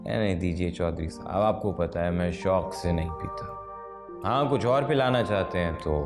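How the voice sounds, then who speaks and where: An actor playing a sardonic, world-weary writer in a television period drama, with dry, courteous irony. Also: male voice, low voice, normal speed, music in the background. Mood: teasing. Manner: dry, ironic, courteous sarcasm. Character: sardonic, world-weary male Urdu short-story writer.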